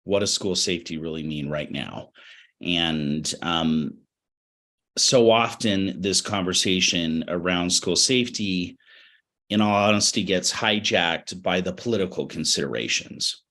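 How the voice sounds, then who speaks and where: A middle-aged American man with a low-pitched voice, a little raspy from a cold. He is a webinar guest reflecting on his work, choosing his words in a measured, halting and earnest manner. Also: bright, forceful tone. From Utah, United States. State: has a cold. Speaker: interview guest, education professional.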